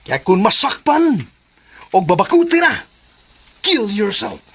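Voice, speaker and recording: A woman's voice: mid-range voice, big pitch swings, takes it slowly, gravelly, a bit bright, slightly rough, heavily acted. A woman acting in a Filipino radio drama as a mocking, cackling boss, with a Cebuano accent and repetitive, theatrical patter. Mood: mocking, bossy. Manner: theatrical, repetitive filler words.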